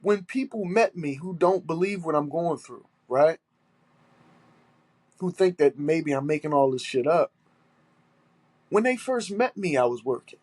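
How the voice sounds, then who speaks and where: A man's voice: fairly high pitch, expressive, measured pace, long pauses, a little mellow, breathy edge, no room sound. A man with a stuffy nose, hosting a solo podcast and talking in an aggrieved, emphatic way, repeating his points and swearing. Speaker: podcast host.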